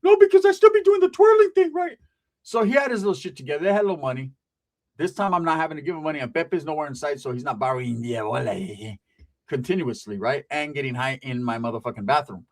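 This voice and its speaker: A man's voice: medium pitch, quick pace. A man telling a rambling, profane comic story about family, tagging his lines with "right?".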